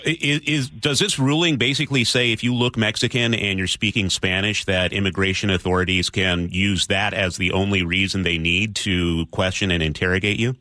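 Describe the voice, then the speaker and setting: Blunt, bright-voiced male radio host. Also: sits low, fairly even intonation, moderate pace, few pauses, dry recording. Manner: blunt and plain-spoken. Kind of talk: live radio interview. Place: radio studio.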